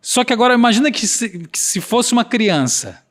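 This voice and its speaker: A man's voice: very high voice, expressive, brisk, fairly bright tone. A man with a regional accent, a podcast guest explaining earnestly and acting out quoted dialogue. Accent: Brazilian Portuguese regional accent.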